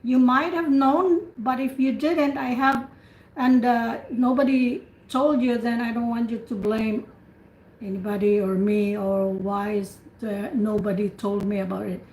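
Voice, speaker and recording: A woman tutoring speaking practice on a video call, chatty with quick asides and frequent laughs. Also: fairly high pitch, steady tone, darkish tone, slight background noise. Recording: echoey room on a call.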